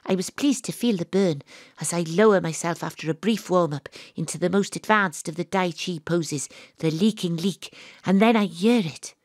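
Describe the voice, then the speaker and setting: A woman playing a gruff, dogged private detective who tells her own case in a dramatic, atmospheric audio drama delivery. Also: on the low side, moderate pace, a little mellow, no echo, studio quality, natural voice. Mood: tense, hushed. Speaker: lead actress and narrator. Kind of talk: first-person audio drama narration.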